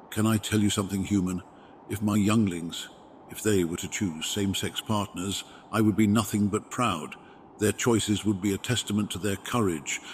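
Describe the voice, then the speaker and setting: A man voicing a wise, protective dragon in an ASMR roleplay, speaking in a formal, measured and reassuring way. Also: on the low side, a little mellow, a little hiss or hum, close to an everyday voice. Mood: gentle, reassuring. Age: adult parent with younglings. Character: wise, gentle, protective male dragon mentor. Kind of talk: ASMR roleplay, scripted comforting monologue.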